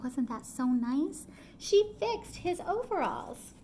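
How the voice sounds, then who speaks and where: A middle-aged woman reading a picture book aloud to young children at home, expressive, pausing to explain and ask questions. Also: high voice, a little hiss or hum, poor audio. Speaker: storytime reader. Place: small room at home, indoors.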